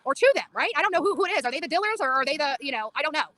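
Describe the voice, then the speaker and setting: A woman's voice: high-pitched, lively intonation, rapid-fire, sharp tone, no room sound. A woman livestreaming an impassioned, insistent monologue outdoors on a street, repeating phrases for emphasis.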